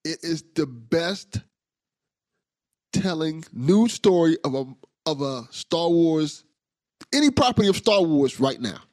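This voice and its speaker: Man, podcast host, fairly high voice, enthusiastic, dry close recording. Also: slow, no echo. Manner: enthusiastic, relaxed, repeats words while thinking. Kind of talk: casual podcast interview.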